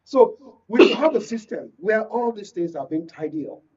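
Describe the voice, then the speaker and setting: A man with a Nigerian accent speaking as a guest from the creative industry in a political panel discussion, deliberate and emphatic, often repeating phrases. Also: high voice, animated, very noisy. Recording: muffled, distant-mic, lo-fi studio pickup.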